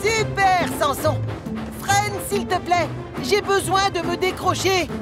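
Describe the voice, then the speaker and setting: A cheeky young tank engine in a cartoon, teasing his friends with giggles. The voice sits very high, and every word comes slow and stretched out. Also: loud music behind the voice. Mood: cheerful. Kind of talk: French cartoon dub.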